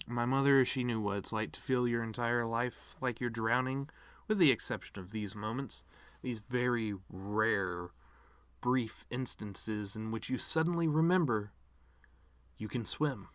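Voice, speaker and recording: A man's voice: mid-range voice, fairly bright tone, no room sound, close to an everyday voice. A man acting a bitter, sardonic grieving son. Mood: wistful, sad. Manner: sardonic, rambling, stand-up-like asides with pauses. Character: bitter, regretful actor son giving his mother's eulogy. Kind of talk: dramatic monologue reading.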